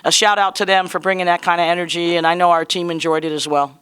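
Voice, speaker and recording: Slightly hoarse woman coach, earnest and bright. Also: on the low side, flat delivery, quick pace. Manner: earnest, conversational, proud of her players. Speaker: basketball head coach. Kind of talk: postgame press conference.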